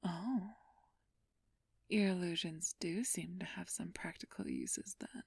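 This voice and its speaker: A woman playing a flirtatious, haughty ancient vampire thief in a whispered ASMR roleplay, teasing and condescending with a drawn-out purr. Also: on the low side, a little mellow, dry recording, full character voice. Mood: haughty, amused. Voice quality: breathy whisper.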